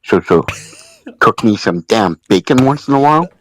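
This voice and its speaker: A man's voice: on the low side, lively intonation, moderate pace, no echo. A man leaving a casual, joking message as a caller to a show.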